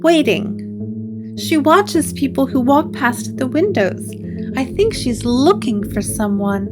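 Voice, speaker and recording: A woman's voice: high-pitched, expressive, slow pace, over music, pitched above the performer's own voice. A woman playing a troubled, hesitant woman haunted by a possessed doll, confiding in a gothic audio drama. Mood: eerie, confiding.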